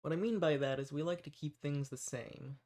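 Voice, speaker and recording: A man's voice: a little high, moderate pace, a little mellow, natural voice. A man playing a kind, folksy human rebel who earnestly reassures and shelters monsters in a scripted audio roleplay. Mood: earnest, explaining. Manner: folksy, reassuring, earnest, uses y'all and ain't. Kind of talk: scripted audio roleplay, speaking softly to the listener.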